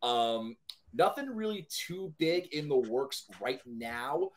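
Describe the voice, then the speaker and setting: A man's voice: medium pitch, very animated, slow pace. A man chatting casually as a podcast guest, friendly and appreciative. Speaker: podcast guest, entertainment news writer. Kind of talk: casual chat.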